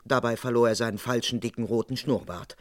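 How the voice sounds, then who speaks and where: A dutiful police constable acted by a man narrates a radio crime comedy. The voice sits at a middle pitch, with formal, measured storytelling. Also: steady tone, no room sound, natural voice. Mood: measured narrating.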